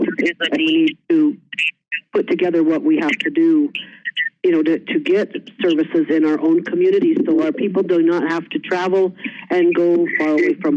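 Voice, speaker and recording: A panelist making an informal comment, thinking aloud and repeating words while speaking. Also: medium pitch. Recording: dull call audio with clear noise.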